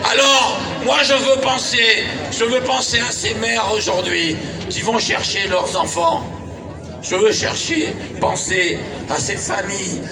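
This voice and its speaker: A man giving an impassioned political speech at a rally, forcefully shouting and repeating phrases for emphasis. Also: very high voice. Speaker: guest speaker at a rally. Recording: echoey outdoor PA, distant, crowd around.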